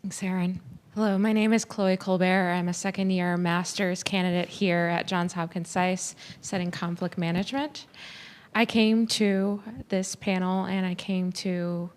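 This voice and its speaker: Young woman student, lowish, smooth and even voice, earnest question. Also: unhurried. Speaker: graduate student asking a question. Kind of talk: audience question.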